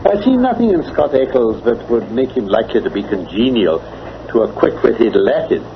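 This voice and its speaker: An actor playing a keen, analytical English gentleman detective in a vintage radio drama, with a British accent and precise, formal diction. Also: mid-range voice, big pitch swings, moderate pace. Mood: analytical, assured. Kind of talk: mid-century radio drama acting. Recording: dull, noisy vintage radio recording.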